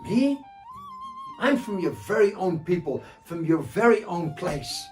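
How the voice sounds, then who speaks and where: A man's voice: high voice, very animated, measured pace, far from the mic, faint music behind, voice pushed up. A man voicing a hungry, scheming male trickster who speaks in flowery, mock-soothing phrases. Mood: smooth-talking.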